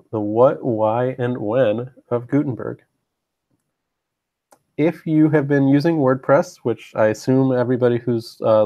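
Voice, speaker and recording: A man with a slight cold giving a live-streamed web training from his own room, halting with frequent ums and throat clearing. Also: mid-range voice, warm tone, no room sound, lo-fi sound. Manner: halting, many ums and uhs, clears throat often, self-deprecating. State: stuffy, getting over a cold. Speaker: university web team presenter. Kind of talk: live-streamed webinar presentation.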